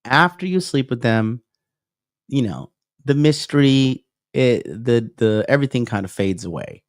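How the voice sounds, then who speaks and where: A man's voice: mid-range voice, expressive, measured pace, no room sound, studio quality. A male dating coach in his thirties, giving a whiteboard lecture on a livestream and peppering his points with "okay?" and "right?". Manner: reads out and answers viewer comments.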